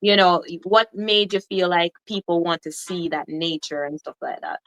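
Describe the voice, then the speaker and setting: A woman's voice: on the low side, moderate pace, a bit bright, poor audio. A woman co-hosting a casual chat, asking thoughtful questions with frequent "you know" fillers. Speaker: co-host.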